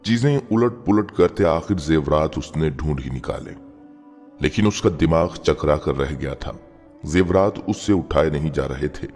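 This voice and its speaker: A man's voice: extremely low pitch, animated, a little slow, darkish tone. A man narrating a scripted moral story in an earnest, sentimental, dramatic way. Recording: long reverb, music bed behind. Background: background music.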